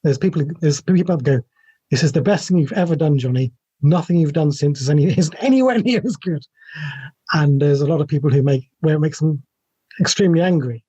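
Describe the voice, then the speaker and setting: Middle-aged man, slightly high mellow voice, halting, reflective, muffled video call. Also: breathy edge, dry recording. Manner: halting, thinking aloud. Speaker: writer being interviewed. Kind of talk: casual, reflective interview talk. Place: small home room with shelves, video call. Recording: dull webcam call audio.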